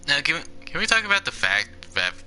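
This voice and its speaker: A man's voice: on the high side, big pitch swings, fast, bright, forceful tone, faint music behind. A young man giving casual, rambling commentary while playing a game, leaning on "basically" and laughing often. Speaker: gaming YouTuber.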